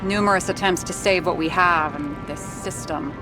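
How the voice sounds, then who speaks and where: An actress playing a blunt, troubled woman investigator in a dystopian noir video game, direct, questioning and sharp-tongued. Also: on the low side, normal speed, music in the background, natural voice, rough recording. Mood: serious, intrigued. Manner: direct, questioning, foul-mouthed. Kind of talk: cinematic video game dialogue.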